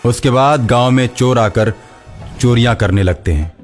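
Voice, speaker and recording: A man's voice: mid-range voice, normal speed, soft background music. A man narrating a scripted moral story as a storyteller.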